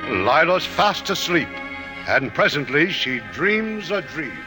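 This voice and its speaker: Male old-time radio narrator, theatrical, very expressive, music playing behind. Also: on the high side, slow, rough recording. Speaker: radio drama host and narrator. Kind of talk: 1950s radio scripted narration.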